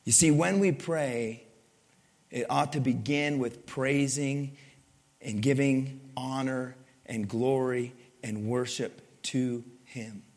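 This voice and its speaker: A male pastor preaching a sermon to his congregation, asking it questions and swinging between loud emphasis and soft, low lines. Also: steady tone, slow. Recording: long hall reverb.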